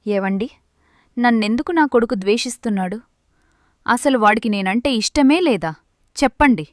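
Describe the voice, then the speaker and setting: A woman's voice: on the high side, normal speed, no room sound, studio quality, lightly acted. Woman acting an earnest, pleading cartoon mother. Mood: hurt, pleading. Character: remorseful, doting mother released from prison. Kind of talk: melodramatic Telugu moral-story cartoon voice acting.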